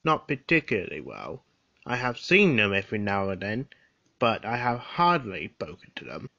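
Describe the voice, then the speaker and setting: A man playing a defensive gentleman suspect in an audio drama, formal and earnest. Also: medium pitch, dry recording, highs cut off, full character voice. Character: defensive, well-spoken gentleman murder suspect. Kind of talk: scripted audio drama acting.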